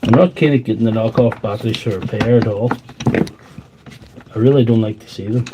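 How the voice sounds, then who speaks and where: A man's voice: lowish voice, a little fast, noisy background. A man with a wheezy voice, chatting loosely to livestream viewers while he repairs tools in his workshop. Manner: rambling, chatty, talks to viewers while working. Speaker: tool repair livestream host. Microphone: mic on a stand close by.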